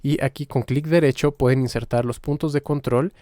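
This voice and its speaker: A man giving an informal step-by-step software tutorial as the instructor, in a halting, explanatory manner. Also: mid-range voice, fairly even intonation, no echo, clean studio sound.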